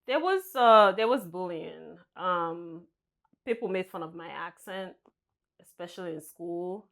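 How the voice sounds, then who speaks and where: Woman, from Nigeria, lowish voice, soft-spoken, hesitant, interview guest. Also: expressive, measured pace, gravelly. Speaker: guest, senior vice president. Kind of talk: interview answers.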